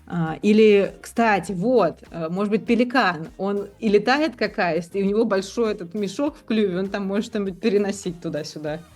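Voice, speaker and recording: A woman's voice: medium pitch, moderate pace, few pauses, darkish tone, soft background music. A woman co-hosting a casual discussion, tentatively thinking aloud and rephrasing as she goes. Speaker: podcast co-host.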